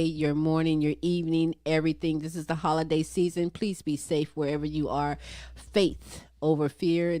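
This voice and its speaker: A woman with a low voice hosts a talk show. She talks in a playful, sassy way and drags out endearments. The sound is dry and close. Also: dark tone, no echo. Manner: playful, sassy, drawls "baby". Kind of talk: lively casual show chat.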